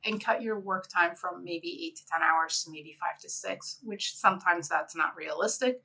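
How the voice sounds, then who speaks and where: Woman, middle-aged, from Egypt, low gravelly voice, bright tone, conversational presenter. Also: moderate pace. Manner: conversational, with frequent fillers. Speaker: interior designer presenting a conference session. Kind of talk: conference presentation.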